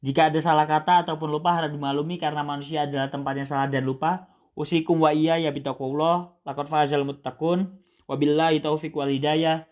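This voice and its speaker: A young male student wraps up a class presentation in a polite, formal tone. His voice sits a little higher than most men's. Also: level tone, a little fast, darkish tone. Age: young adult.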